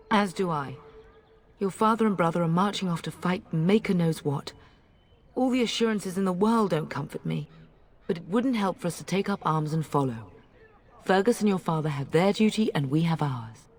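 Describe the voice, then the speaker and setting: An actress playing a middle-aged noblewoman in a video game, a loving, dutiful mother who speaks in a measured, tender way. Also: on the low side, a little mellow, lightly acted. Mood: worried, resolute.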